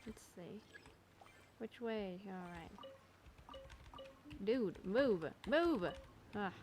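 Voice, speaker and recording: A woman's voice: medium pitch, lively intonation, extremely slow, background noise. A woman giving casual gameplay commentary, muttering to herself and exclaiming in exasperation as she plays. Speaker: gamer recording a playthrough.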